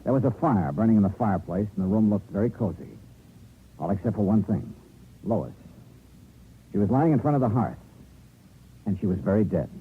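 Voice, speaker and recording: A man's voice: lowish voice, lively intonation, a little fast, pauses often, a little hiss or hum, natural voice. An American man acting as a wry, clipped insurance investigator in an old-time detective radio drama. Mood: tense narrating. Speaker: lead actor and first-person narrator. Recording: vintage narrow-band radio, music behind.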